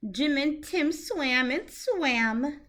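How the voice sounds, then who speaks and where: A woman teacher reading a children's picture book aloud in an expressive storytelling manner. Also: sits high, very slow.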